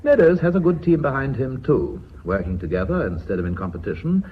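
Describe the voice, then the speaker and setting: A man playing a seasoned, middle-aged company director in a British radio drama, speaking in a measured, formal and deliberate way with a British accent. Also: medium pitch, very animated, natural voice. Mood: earnest, resolved. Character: Sir Norman, seasoned, urbane male company director. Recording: muffled, noisy old radio recording.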